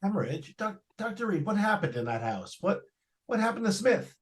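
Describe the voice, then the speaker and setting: A man's voice: high voice, big pitch swings, moderate pace. A man playing an anxious, insistent questioner in old-time radio suspense style, urgent and stammering. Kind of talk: 1940s radio suspense acting.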